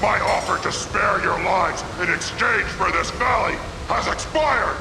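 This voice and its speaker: A man's voice: high-pitched, unhurried, gravelly, roomy sound, recorded from a distance, very noisy. A man voicing a pompous, officious, threatening alien military commander in a video game. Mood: menacing. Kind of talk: video game cutscene acting.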